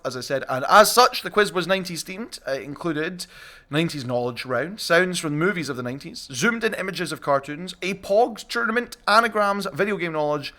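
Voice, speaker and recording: A man's voice: on the high side, bright, forceful tone. A young man hosting a chatty podcast among colleagues. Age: young adult. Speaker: podcast host, shop staff.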